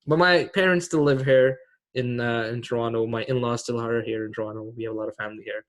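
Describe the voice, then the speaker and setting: A man's voice: mid-range voice, steady tone, fast. A man from Pakistan in his thirties, a teacher and imam, running a casual online class for teens with a quiz. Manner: casual and friendly, many fillers. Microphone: video call.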